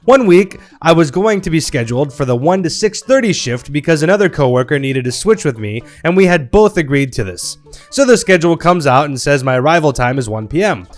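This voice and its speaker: A man's voice: fairly high pitch, expressive, a little fast, faint music behind. A man reading online stories aloud in a playful, wry storytelling manner. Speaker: narrator reading Reddit stories.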